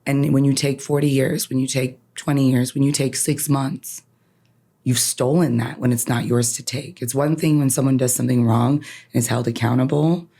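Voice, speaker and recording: A woman's voice: extremely low pitch, fairly even intonation, moderate pace, dark tone. Earnest woman attorney, says "right?" a lot. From Canada. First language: Farsi. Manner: earnest, emphatic, often ends points with "right?". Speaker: guest, attorney. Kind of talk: podcast interview, personal reflection.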